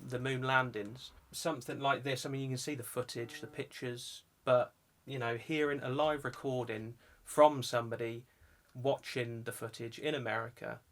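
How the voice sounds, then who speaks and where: A man's voice: mid-range voice, fairly bright tone. Chatty man presenting a vlog. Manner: informal, chatty. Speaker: video channel host. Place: indoor music studio.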